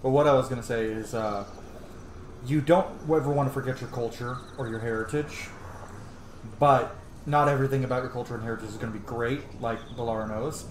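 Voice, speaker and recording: A man's voice: medium pitch, fairly even intonation, a little fast, a little hiss or hum. A man giving casual, rambling commentary as he plays a video game, musing aloud. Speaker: gaming streamer, let's-player. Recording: roomy echo, faint game music. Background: faint game music.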